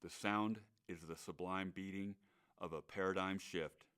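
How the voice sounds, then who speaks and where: A man reciting spoken-word poetry with measured, grave phrasing. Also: deep voice, fairly even intonation, a bit bright, clean tone. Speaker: poet.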